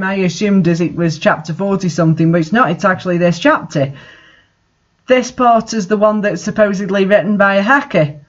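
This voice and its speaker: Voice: mid-range voice, dull audio. A narrator reading fan fiction for comedy, breaking off for exasperated, mocking asides. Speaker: reader and commentator.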